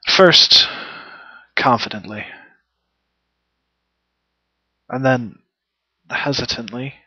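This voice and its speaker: A man playing a wounded, indignant travelling stage actor in a theatrical monologue, emphatic and deliberate with long pauses. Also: medium pitch, sharp tone, breathy edge, dry recording, full character voice. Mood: desperate. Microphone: headset. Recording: muffled, dull headset recording.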